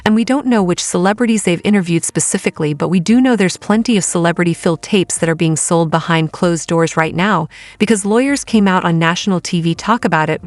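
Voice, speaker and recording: A woman's voice: on the low side, a little fast, hardly any pauses, dry recording. A woman giving casual celebrity gossip commentary as a host.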